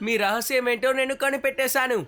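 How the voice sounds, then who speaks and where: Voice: high-pitched, steady tone, quick pace, pushed and bright, soft background music. An actor voicing a brave, confident young boy hero in a Telugu cartoon dub, with a bold, cheeky manner. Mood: confident, urgent.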